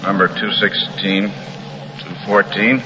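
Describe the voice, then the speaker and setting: An actor playing an easygoing federal agent and partner in a 1940s radio crime drama, conversational and a little hesitant. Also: male voice, low-pitched, steady tone, slow. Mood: puzzled. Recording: noisy, muffled old radio recording.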